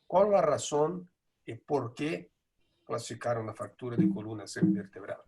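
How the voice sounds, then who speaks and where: A middle-aged man, a native Portuguese speaker with a Buenos Aires tinge in his Spanish, gives a measured conference lecture with frequent hesitations. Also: medium pitch, animated, vocal fry, darkish tone. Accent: Portuguese-accented Spanish with a Buenos Aires lilt. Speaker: neurosurgery lecturer.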